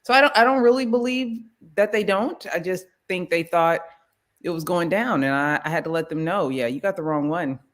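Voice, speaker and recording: Lively, low-voiced middle-aged woman host. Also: normal speed. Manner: animated storyteller, acts out quotes in a high voice. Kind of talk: casual chat.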